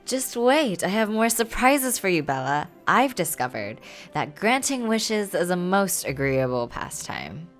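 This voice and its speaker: A woman voicing a kindly, lively, meddling older aristocratic godmother from a game, with grand, genteel phrasing. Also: on the low side, unhurried, faint music behind. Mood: playful. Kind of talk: reading game dialogue aloud.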